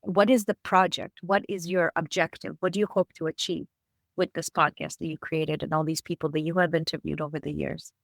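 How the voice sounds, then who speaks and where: Measured woman interviewer, dry sound. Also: low-pitched, little pitch movement, vocal fry, no room sound. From Israel. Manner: thoughtful, measured, with fillers. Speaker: guest interviewer. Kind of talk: conversational interview.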